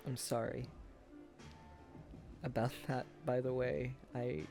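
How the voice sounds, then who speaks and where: A man playing a wistful, self-deprecating former high school sweetheart in an intimate, halting boyfriend ASMR roleplay. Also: little pitch movement, creaky, faint music behind. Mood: regretful, tender. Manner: hesitant, halting, chuckles through confessions.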